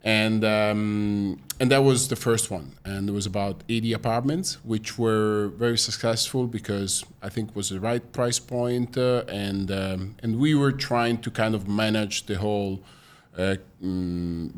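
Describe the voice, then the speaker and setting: A man from Italy, a guest on a podcast in a studio, explaining his story in a relaxed way with a few fillers. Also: fairly low pitch, normal speed, clean tone. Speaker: guest, business founder. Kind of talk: interview answers.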